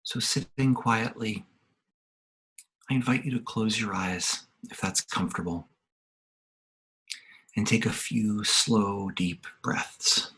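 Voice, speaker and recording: A man leading a guided meditation over a video call, speaking quietly and soothingly with long pauses. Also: fairly low pitch, fairly even intonation, husky, poor audio. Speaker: meditation leader, religious organization secretary.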